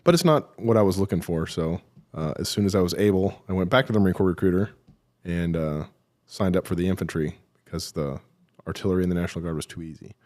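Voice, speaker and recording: A middle-aged man with a low voice recounts his military career as an interview guest. He speaks with dry, self-deprecating humor and pauses often with fillers as he collects his thoughts. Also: a little mellow. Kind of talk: relaxed interview storytelling.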